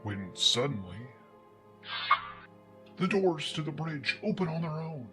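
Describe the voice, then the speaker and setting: A man's voice: a little high, big pitch swings, slow, over music. A man narrating a solo tabletop game as host and game master, in a conversational storytelling manner.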